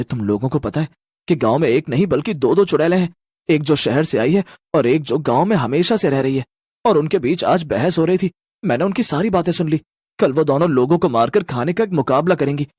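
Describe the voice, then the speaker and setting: A man's voice: fairly high pitch, no echo, clean studio sound. A man playing anxious, earnest village boys in a horror cartoon, talking with urgent exclamations.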